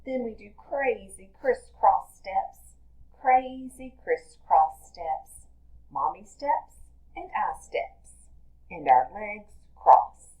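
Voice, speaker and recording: A woman teacher reading a picture book aloud to young children in a deliberate, expressive storytelling manner. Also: mid-range voice, poor audio.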